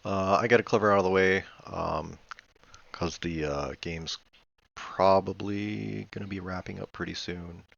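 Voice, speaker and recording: Low, gravelly man commenting on a game. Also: steady tone, unhurried, fairly bright tone, dry recording, muffled recording. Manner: thinking aloud, hesitant, matter-of-fact. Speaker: board game player reviewing own replay. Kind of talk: casual game replay commentary.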